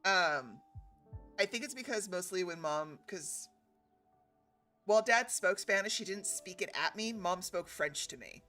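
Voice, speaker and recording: A woman's voice: lowish voice, animated, measured pace, bright, forceful tone, soft background music. A young woman from California co-hosting a game stream, chatting casually and laughing often. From West Coast of California. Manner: chatty, laughing, mixes in foreign phrases. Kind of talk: casual chat.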